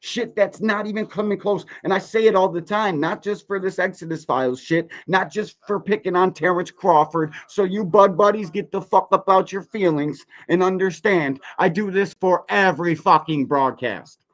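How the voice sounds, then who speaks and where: A man's voice: high voice, moderate pace, few pauses. A man in his forties hosting a reaction livestream, giving blunt, profane, emphatic commentary full of rhetorical questions. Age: 40s. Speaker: podcast host reacting to a video.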